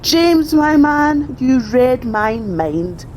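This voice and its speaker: A woman's voice: high-pitched, sing-song, drawn-out words, darkish tone, a little hiss or hum, exaggerated voice. A woman playing a brash, bossy tough. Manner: brash and commanding.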